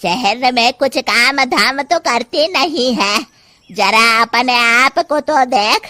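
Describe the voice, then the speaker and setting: Woman playing a slow, nagging old village mother-in-law. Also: fairly high pitch, sharp tone, no room sound. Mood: scornful nagging. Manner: scolding, taunting, drawn-out delivery. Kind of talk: Hindi cartoon moral-story acting.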